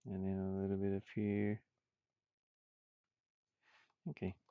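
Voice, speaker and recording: A man's voice: low-pitched, monotone, takes it slowly, clean tone, dry recording, phone-quality sound. A man chatting casually on a livestream while he works, rambling and half muttering to himself. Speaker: streamer, game developer. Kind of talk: casual livestream chat while drawing game art.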